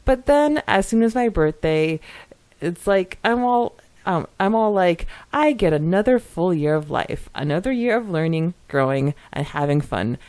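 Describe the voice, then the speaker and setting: A woman co-hosting a podcast, reading listener comments aloud and chatting casually in an animated, giggly manner. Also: on the low side, a little slow. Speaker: podcast co-host.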